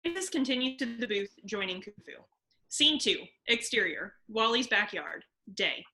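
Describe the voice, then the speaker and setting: Female narrator: higher, bright, crisp scene-setting for a table read. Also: lively intonation, normal speed. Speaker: narrator reading stage directions.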